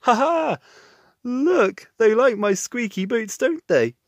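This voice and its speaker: A man's voice: extremely high pitch, lively intonation, slow pace, dry recording, clean studio sound, pitched above the performer's own voice, heavily acted. A man voicing a friendly, good-natured builder in a children's storybook. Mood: delighted.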